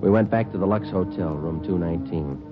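An actor playing a terse, matter-of-fact police detective, deadpan, in clipped old radio drama style on an old radio recording. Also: male voice, sits low, fairly quick, lo-fi sound, music in the background, natural voice. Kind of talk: 1940s-50s radio acting, clipped narration.

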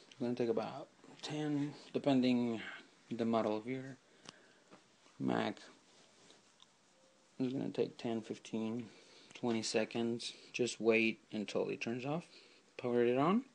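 A man's voice: mid-range voice, gravelly, darkish tone. A man talking through a casual computer how-to while filming a laptop screen, spelling out commands letter by letter. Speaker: tutorial maker. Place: indoors at a desk with a laptop. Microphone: handheld camera filming the screen.

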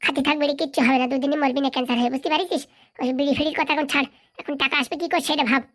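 A woman's voice: high-pitched, steady tone, a bit bright. A woman voicing a cocky, bossy village boy who schemes and talks people round. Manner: bossy, fast-talking, wheedling. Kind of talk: comic cartoon voice acting.

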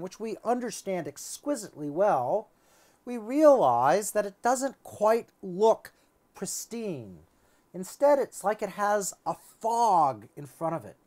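A man's voice: sits high, darkish tone. A middle-aged man giving a conversational science lecture as a lecturer, explaining as he thinks aloud. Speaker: astronomy lecturer. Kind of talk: conversational science lecture in a two-person dialogue.

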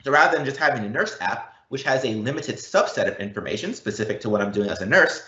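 A man presenting a software demo, explaining it step by step. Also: medium pitch, lively intonation, quick pace. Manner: explanatory, walking through step by step. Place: indoor room, live event. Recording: dull, roomy live event recording.

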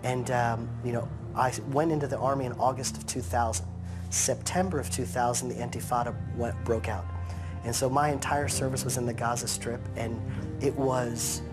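A man in his thirties, raised in the United States, speaking earnestly in a sit-down interview on a living-room couch and slowing down to stress his points. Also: medium pitch, fairly even intonation, music in the background.

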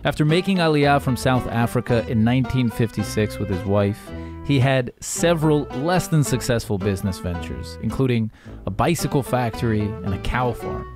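A man's voice: mid-range voice, expressive, moderate pace. A man in his thirties hosting a podcast, reading an upbeat, emphatic sponsor spot and introducing a guest. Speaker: podcast host. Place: home studio with bookshelves. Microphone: studio mic on boom arm, headphones. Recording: music under the voice.

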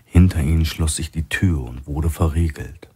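A man's voice: extremely low pitch, normal speed, dark tone, breathy edge. A man reading a crime thriller audiobook as a scripted narration.

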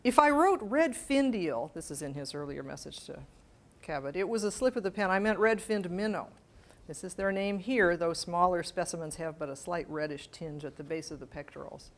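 An older woman speaks to a seated audience as a guest lecturer, her voice sitting a little low. She reads from letters with measured precision and comments informally as she goes. She is miked at the lapel in a library meeting room. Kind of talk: public lecture with letters read aloud. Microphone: lapel mic.

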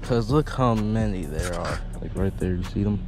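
A man's voice: low-pitched, expressive, moderate pace. A young man casually talking viewers through a day of pond fishing, filmed on a head-mounted action camera outdoors. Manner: laid-back, talks to the fish and viewers. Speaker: fishing vlogger. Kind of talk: casual first-person fishing commentary. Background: background music.

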